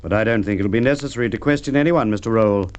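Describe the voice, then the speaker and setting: A man playing a shrewd, unflappable police inspector, measured and dry, in a mid-century radio drama. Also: fairly low pitch, clear, resonant tone, natural voice. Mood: firm, accusing. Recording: dull vintage radio recording.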